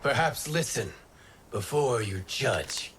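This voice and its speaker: A noble wind god speaks in a mid-pitched voice with very expressive movement. He is courteous and earnest, over loud cinematic game music. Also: slow pace. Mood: earnest, urgent. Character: calm, wise, noble male wind god. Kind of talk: dramatic video game acting.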